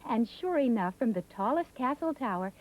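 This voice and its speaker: A woman's voice: fairly high pitch, animated, normal speed. A woman narrating a scripted fairy tale as a storyteller. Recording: thin phone-like sound, music behind. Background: music.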